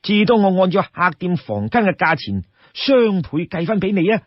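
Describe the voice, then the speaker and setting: A man's voice: sits high, sing-song, normal speed, dry recording, highs cut off, pitched above the performer's own voice. A man voicing a polite young travelling peddler with a Cantonese accent, earnest and persuasive, in an audio story. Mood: earnest, pleading.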